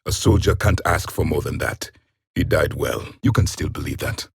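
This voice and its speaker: Casting: stern alien warrior, game character, disdainful formal delivery, slightly husky, very dry studio sound. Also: male voice, extremely low pitch, animated, no room sound, heavily acted. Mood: haughty, grave. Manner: haughty, disdainful, formal measured speech. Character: proud, stern alien warrior, last of an ancient race. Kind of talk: video game character voice lines.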